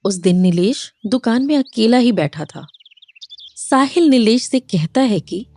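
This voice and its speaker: Mellow female storybook narrator. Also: on the high side, lively intonation, unhurried. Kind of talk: scripted narration of a children's moral story.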